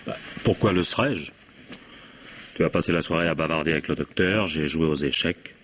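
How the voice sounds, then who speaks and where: Exasperated husband, deep husky voice, curt dry sarcasm, vintage radio drama. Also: male voice, moderate pace, fairly bright tone. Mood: weary, sullen. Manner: curt, reproachful, dryly sarcastic. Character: exasperated, cutting husband weary of his jealous wife.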